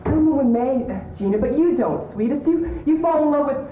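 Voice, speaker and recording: A man with an English accent playing a scruffy, earnest, smugly analytical suitor on an amateur stage, repeating words haltingly as he explains. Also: very high voice, lively intonation. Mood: smugly reassuring. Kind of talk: amateur stage play acting. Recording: tinny old tape, roomy, background hiss.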